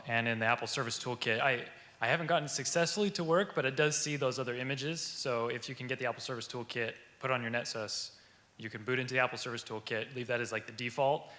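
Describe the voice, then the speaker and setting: A man from the audience describing a technical problem and asking about it in a measured way. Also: mid-range voice, bright, forceful tone, big-room sound. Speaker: audience member.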